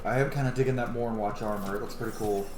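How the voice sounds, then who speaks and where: A man's voice: on the low side, steady tone, fast talker, roomy sound, background noise. A man giving casual, informal commentary on a video game playthrough, thinking aloud as he goes. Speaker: gaming video host. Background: game music.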